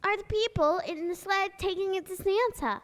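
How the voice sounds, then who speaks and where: A tiny, innocent child in a stage audio play. The voice is extremely high, earnest and full of curiosity. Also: female voice, moderate pace, fairly bright tone. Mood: curious. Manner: earnest, questioning. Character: small, innocent, inquisitive child. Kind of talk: live stage audio drama.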